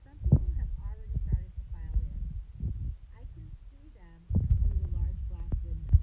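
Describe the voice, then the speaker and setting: A woman's voice: lowish voice, normal speed, narrow, tinny audio, very noisy. A woman reading a children's novel aloud as a teacher would for students, in a storybook narration style.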